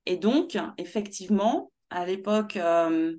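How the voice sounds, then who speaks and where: Young woman guest on a video call, animated, gestures as she talks. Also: medium pitch, measured pace. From Martinique heritage, born in Paris. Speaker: guest speaker. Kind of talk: conversational interview on a video call. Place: small room at home.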